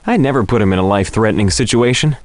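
A man's voice: lowish voice, expressive, rapid-fire, a little mellow, no room sound, close to an everyday voice. A man playing a suave, flirtatious soap opera star in a video game, speaking theatrically. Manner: theatrical, flowery.

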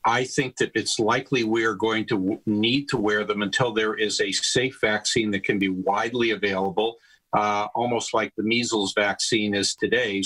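A man's voice: on the low side, a bit bright, husky, low-quality recording. An older man, a health system leader, explaining steadily over a video call from a home study, with light fillers. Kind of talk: expert answers on a video call. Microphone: video call webcam.

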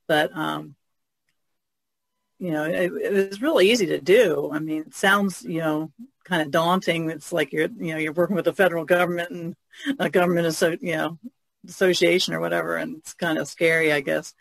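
Creaky-voiced woman on a podcast. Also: low voice, steady tone, moderate pace, no room sound. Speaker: podcast panelist and painter. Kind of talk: casual podcast chat.

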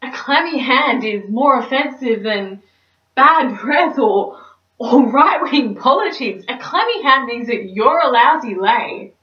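A woman's voice: sits high, lively intonation, slow, pitched above the performer's own voice. A young woman performing a stage monologue as a neurotic, melodramatic single woman, theatrical and anxious. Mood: anxious fretting. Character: neurotic, dramatic single woman dreading dating. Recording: roomy, distant mic, slightly dull.

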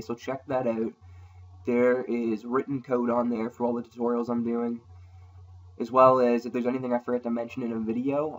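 A man hosting a screen-recorded programming tutorial, explaining code in a casual way and thinking aloud with frequent ums, his voice a little rough from a sore throat. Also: medium pitch. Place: at a computer, voice-over on screen capture.

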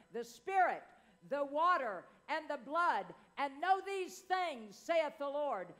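An older woman minister preaching a prophetic word in a church meeting room, with arms raised and rising to shouts. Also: extremely high pitch.

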